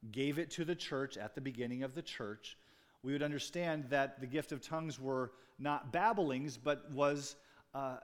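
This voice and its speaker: A man preaching a sermon as pastor, talking conversationally with asides and jokes to his congregation. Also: a little high. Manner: restarts and fillers, speaking off the cuff.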